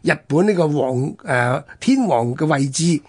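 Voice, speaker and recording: A man with a Hong Kong Cantonese accent hosting a history talk, telling it conversationally in halting, particle-filled phrases. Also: a little high, animated, darkish tone, breathy edge. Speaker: radio history programme host.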